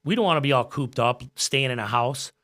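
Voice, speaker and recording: A man's voice: medium pitch, lively intonation, fairly quick, fairly bright tone. An American man in his forties chatting casually as a podcast guest, earnest and emphatic, often repeating his phrases. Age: 40s. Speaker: podcast guest, gym owner and coach.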